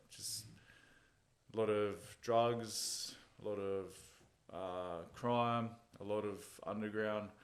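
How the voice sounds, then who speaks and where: Laid-back fighter telling stories. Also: male voice, lowish voice. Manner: laid-back storytelling, chuckles at his own jokes. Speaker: MMA fighter, interview guest. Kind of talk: casual interview chat.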